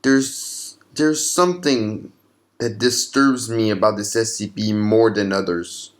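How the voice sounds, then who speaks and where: A man's voice: lowish voice, slow. A man hosting and narrating scripted horror fiction in the form of documents and logs. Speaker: narrator and channel host.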